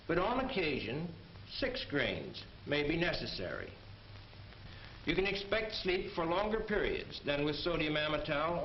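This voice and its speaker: A man playing an army major who gives a precise, formal medical lecture in a 1940s training film. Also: a little high. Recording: muffled, echoey, noisy 1940s film soundtrack.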